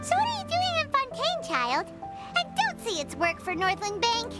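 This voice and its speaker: A tiny, childlike fairy sidekick from a video game, chirps in an extremely high, squeaky voice. The delivery is nosy and exclamatory, swooping widely in pitch, with prominent music behind it. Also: female voice, wildly swooping pitch, normal speed, full character voice. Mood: puzzled, teasing. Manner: speaks of herself in third person, exclaiming.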